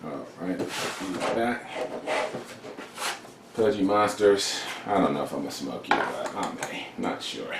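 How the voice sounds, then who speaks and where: A man's voice: sits low, lively intonation, measured pace, gravelly, reverberant, very noisy. A man casually rambling as he shows cigars from his humidor in a vlog. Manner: laid-back, rambling, chatty with fillers. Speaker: cigar reviewer. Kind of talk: casual show-and-tell vlog. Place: room beside his humidor.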